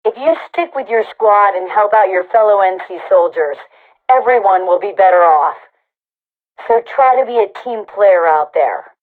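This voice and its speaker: An actor playing a brisk, no-nonsense female military overseer in a sci-fi shooter game, speaking with clipped authority through a radio comms filter. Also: medium pitch, expressive. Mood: encouraging, firm. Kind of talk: scripted game announcements and briefings. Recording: tinny narrowband radio transmission.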